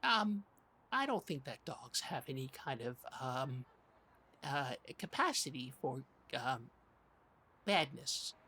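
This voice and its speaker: A man plays a bossy but anxious local radio host in a comedy podcast. The voice is bright, tense and husky. He stammers and halts, yet keeps insisting in a blunt way. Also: mid-range voice, big pitch swings, takes it slowly, exaggerated voice. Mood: dismissive. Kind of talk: improvised comedy broadcast.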